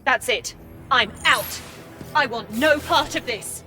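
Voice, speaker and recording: A woman's voice: fairly high pitch, takes it slowly, bright, forceful tone, soft background music. An actress playing a principled, indignant female AI assistant in a video game, speaking firmly and reproachfully. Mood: defiant, hurt. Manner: firm, reproachful.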